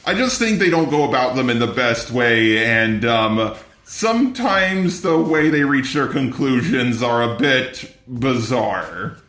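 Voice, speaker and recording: A man's voice: medium pitch, lively intonation, measured pace, fairly bright tone, roomy sound. A synthetic, robotic male voice delivering scripted commentary in a blunt, sardonic way, as a robot persona. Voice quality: synthetic robotic text-to-speech voice. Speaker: video commentator.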